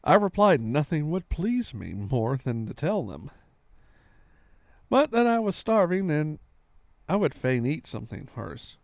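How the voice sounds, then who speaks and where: Animated male storyteller, close dry sound. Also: on the high side, a little slow, vocal fry, no room sound. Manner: theatrical, stumbles over the text and corrects himself. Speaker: storyteller, reading aloud on a live stream. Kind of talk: dramatic storybook narration.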